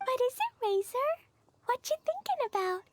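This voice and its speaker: A woman voicing a bubbly, innocent little girl in a video game, childlike and excitable. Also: extremely high pitch, moderate pace, background noise. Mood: curious, delighted.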